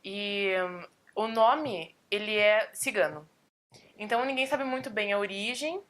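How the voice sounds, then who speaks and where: A woman's voice: medium pitch, a little slow, fairly bright tone. A woman speaking in a casual interview, thoughtful and explanatory.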